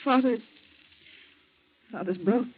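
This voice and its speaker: An American actress playing a distraught, devoted daughter in a 1940s radio melodrama, breathless and emotional. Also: female voice, on the high side, takes it slowly, breathy edge. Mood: anxious, distraught. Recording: dull old radio recording.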